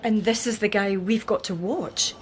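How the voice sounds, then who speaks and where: An actress playing a keen, inquisitive junior police detective in a radio drama. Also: female voice, mid-range voice, moderate pace, lightly acted. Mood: matter-of-fact. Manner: inquisitive, matter-of-fact. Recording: faint background noise.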